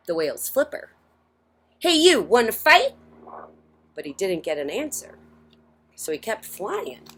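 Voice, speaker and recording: Expressive, unhurried woman reading a picture book. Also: medium pitch, breathy edge. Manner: theatrical, emphatic, with dynamic swells and hushes. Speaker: children's story time host. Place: outdoors on a porch.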